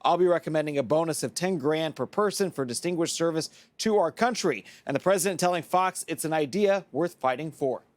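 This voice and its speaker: A man's voice: a little high, a little fast, hardly any pauses, no echo. A man reporting live as a television news correspondent.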